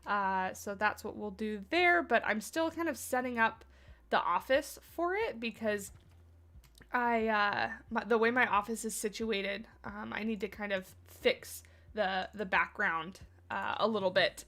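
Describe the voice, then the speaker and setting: A woman's voice: mid-range voice, a little slow, fairly bright tone. A woman livestreaming a game from her home office, chatting casually and rambling a little, slightly stuffed up from a cold. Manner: chatty, rambling, self-deprecating. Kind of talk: casual chat with live chat.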